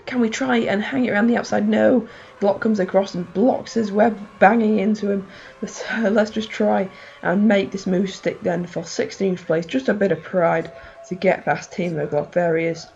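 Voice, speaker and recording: A woman with a hoarse, fading voice giving rambling, excitable play-by-play of her racing game, full of fillers. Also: medium pitch, normal speed, dull audio. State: hoarse, losing voice. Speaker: gaming channel host.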